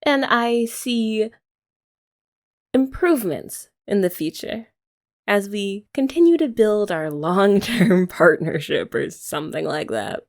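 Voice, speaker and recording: Slow, lilting woman with a gravelly edge. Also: mid-range voice, lively intonation. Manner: soft, teasing and affectionate, often laughing. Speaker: ASMR roleplay creator. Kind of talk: ASMR girlfriend roleplay.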